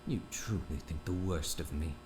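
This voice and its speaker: A man's voice: very deep voice, moderate pace, a little mellow, a little hiss or hum. An actor playing a smug doctor in his fifties who talks with prim, mock-polite courtesy. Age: 50s. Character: smug older male doctor, oily and condescending. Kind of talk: audio drama acting.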